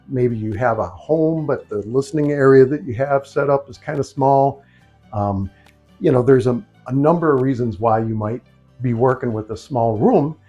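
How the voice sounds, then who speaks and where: A man's voice: mid-range voice, a little mellow, lo-fi sound. An American man hosting a casual, unscripted DIY vlog from a small home office, talking in an informal, rambling way full of conversational asides. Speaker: video host, DIY speaker builder.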